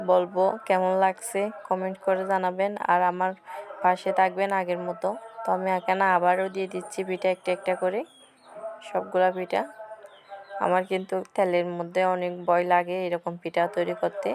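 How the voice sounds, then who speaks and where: A woman's voice: fairly low pitch, vocal fry. A woman vlogger talking viewers casually through her cooking step by step, repeating herself as she explains each action, in a noisy home recording. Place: village cooking spot with wind-blown fire.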